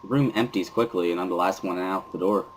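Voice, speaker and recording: A man's voice: sits low, a little fast, a little hiss or hum, poor audio. A man reading dramatic first-person narration from a visual novel in a wry, dry way. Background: music.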